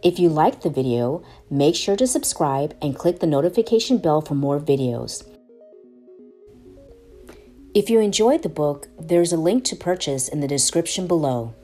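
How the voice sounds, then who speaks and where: A woman storyteller reading a children's picture book aloud with deliberate, expressive phrasing. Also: sits low, soft background music. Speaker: storyteller and channel host.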